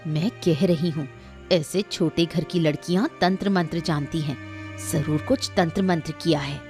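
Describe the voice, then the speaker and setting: Cartoon mother-in-law, woman actor: low-pitched, scornful, menacing, scheming matriarch of a rich house. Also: moderate pace, a little mellow, faint music behind, close to an everyday voice. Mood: suspicious. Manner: scornful, threatening. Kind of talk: Hindi cartoon moral-story dubbing.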